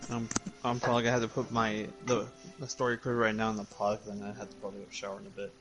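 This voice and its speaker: A man's voice: fairly low pitch, completely flat delivery, rapid-fire, over music. A man bantering casually on a group stream, restating his points insistently.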